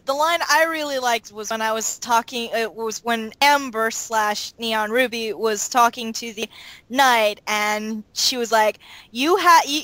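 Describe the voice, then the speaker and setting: A woman talking casually as a cast member in an interview, animated and emphatic when retelling things. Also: a little high, no room sound. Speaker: audio drama cast member.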